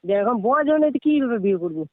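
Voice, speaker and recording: Very high-voiced young North Bengal man, tinny phone. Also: animated, fast talker, no room sound. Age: teens to young adult. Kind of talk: casual, argumentative phone chat. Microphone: phone call. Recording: tinny phone-line sound, dry.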